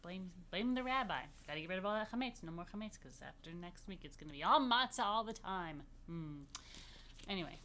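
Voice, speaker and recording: A woman's voice: on the low side, expressive, brisk, pushed and bright, a little hiss or hum. A woman rabbi leading a children's story time, reading aloud and adding playful, simply worded asides with plenty of repetition. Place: virtual story time recorded in a room.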